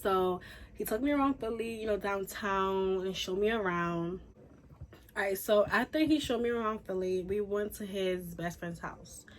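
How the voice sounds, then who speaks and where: A young woman from Haiti chatting casually through a storytime, animated and full of fillers. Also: medium pitch, normal speed, slight background noise, rough recording. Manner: chatty, animated, says "like" and "y'all" a lot. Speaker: YouTuber.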